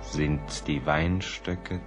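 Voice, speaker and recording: An actor in a German radio play, playing a haunted, melancholy poet in a brooding, halting, intimate monologue. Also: male voice, extremely low pitch, fairly even intonation, music in the background, exaggerated voice. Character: melancholy, haunted poet musing to someone lost.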